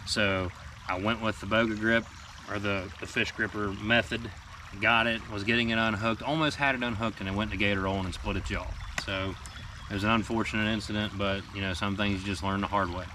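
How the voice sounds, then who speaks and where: A man in his thirties with a fairly low voice talks easily from a small boat outdoors, chatting through gear tips with lots of ums and small asides. The camera mic sits a few feet off, so he sounds a little distant, with clear outdoor noise around him. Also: brisk. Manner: relaxed, plain-spoken, many ums and asides. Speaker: fishing guide and show team member. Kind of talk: casual instructional talk to camera. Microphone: camera mic from a few feet away.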